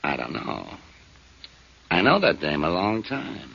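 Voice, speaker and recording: Un patron de tripot bourru. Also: male voice, low-pitched, sing-song, unhurried, vocal fry, pushed and bright, breathy edge, exaggerated voice. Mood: surly. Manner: curt, defensive. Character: surly male gambling-joint boss. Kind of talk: 1940s radio acting. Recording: dull old radio broadcast.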